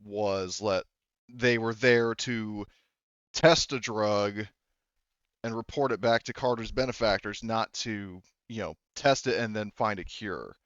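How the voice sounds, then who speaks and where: A man narrating casually as game master, thinking aloud in a halting way. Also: lowish voice, fairly bright tone, clear, resonant tone, no room sound, muffled recording.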